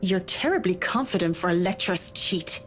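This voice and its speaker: A woman's voice: lowish voice, animated, normal speed, faint music behind, natural voice. A woman voicing a firm, defiant young interior designer in a visual novel game. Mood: indignant.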